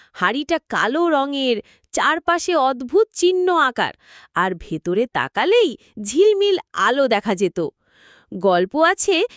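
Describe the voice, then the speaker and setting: Woman narrator, children's story, high pitch, very expressive intonation, dry studio-like sound. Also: dry recording.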